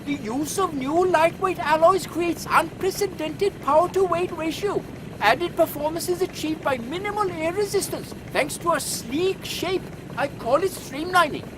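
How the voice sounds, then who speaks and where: A man's voice: very high voice, measured pace. A man voicing a polite, precise airship engineer in a steampunk audio comedy, courteous and technical. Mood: proud, explanatory. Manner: courteous, technical, matter-of-fact. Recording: loud airship engine noise behind.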